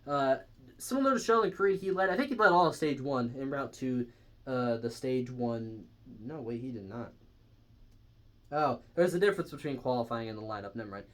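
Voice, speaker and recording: A man's voice: medium pitch, expressive, normal speed, a bit bright. A young man casually reviewing race results on his own, rambling and correcting himself with lots of fillers. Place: indoors, stepping back from the camera at times.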